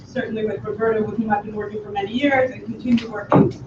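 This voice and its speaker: Mature female board candidate, public address, muffled recording with clear background noise. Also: mid-range voice, steady tone, fast talker. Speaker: long-serving board member running for office. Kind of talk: public campaign speech. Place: indoor public meeting room. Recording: echoey, distant, dull, clearly noisy room.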